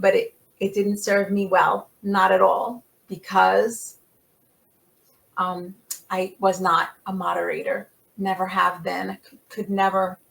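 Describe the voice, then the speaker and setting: A middle-aged American woman who grew up speaking an Italian dialect, talking as a podcast guest, animated and emphatic with frequent laughs. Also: medium pitch, vocal fry, low-quality recording. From United States. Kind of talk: casual conversation.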